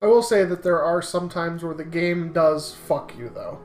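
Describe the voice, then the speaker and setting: A man co-hosting a game show, telling stories in casual, profane chat with an animated manner. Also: a little high, moderate pace. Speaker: co-host of a gaming playthrough show. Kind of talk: casual storytelling chat over a video game. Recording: roomy echo with music behind.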